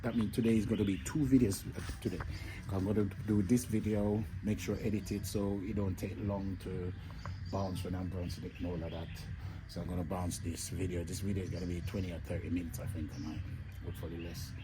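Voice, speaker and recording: A man's voice: low-pitched, little pitch movement, fairly quick, noisy background. Chatty Jamaican man vlogging outdoors. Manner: chatty, addresses viewers as "my people", often drops to a murmur. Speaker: travel vlogger. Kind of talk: casual walking vlog. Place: outdoor hotel garden.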